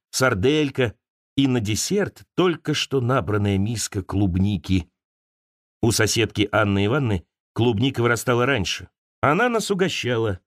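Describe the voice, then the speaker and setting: A man's voice: mid-range voice, dry recording, clean studio sound. A man reading a literary audiobook in the first person, dramatic and wry, drawing out lines of verse. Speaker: audiobook narrator.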